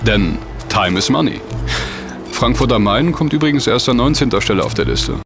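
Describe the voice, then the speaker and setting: A man's voice: mid-range voice, animated, moderate pace, vocal fry, over music. An actor playing a well-read, sceptical young man who explains facts at length and distrusts the rich. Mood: explaining.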